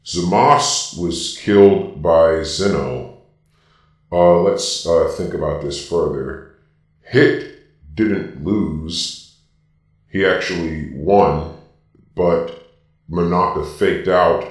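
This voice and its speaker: A man voicing a robotic dark-lord villain through a robot voice filter, giving opinionated, emphatic casual commentary. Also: deep voice, extremely slow, pauses often. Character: Darth Vader-like robotic villain explaining things. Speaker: video host ranking anime transformations. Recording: very echoey, distant from the mic.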